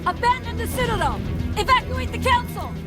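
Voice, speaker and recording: Piercingly high, frantic official. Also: female voice, sing-song, brisk. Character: frantic official shouting emergency orders. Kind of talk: scripted game dialogue. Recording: loud music over the voice.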